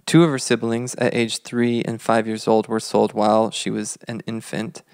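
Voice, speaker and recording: A man in his thirties co-hosting a podcast, telling history in a measured, reflective, conversational way. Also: lowish voice, little pitch movement, vocal fry, darkish tone, clear, resonant tone, dry recording, studio quality. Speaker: podcast co-host.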